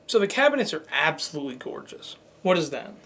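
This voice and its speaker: A man's voice: fairly high pitch, very animated, fast, fairly bright tone, slight background noise. A man co-hosting a review show in a scripted but conversational, presenterly way. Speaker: show co-host.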